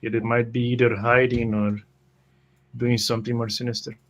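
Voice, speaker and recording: A cautious adventurer, played by a man in a fantasy tabletop game. His voice sits at a middle pitch, wary and slightly hesitant. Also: flat delivery, moderate pace, close to an everyday voice.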